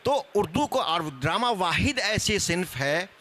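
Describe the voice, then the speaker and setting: Panelist, male. High, sharp-edged voice, scholarly explainer in live discussion. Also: lively intonation, measured pace. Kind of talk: spoken panel discussion on theatre history.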